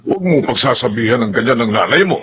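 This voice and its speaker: A man's voice: mid-range voice, lively intonation, fast, fairly bright tone, husky, muffled recording. An actor with a Filipino accent playing a kindly, grateful older father in a radio drama. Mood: pleading, admonishing.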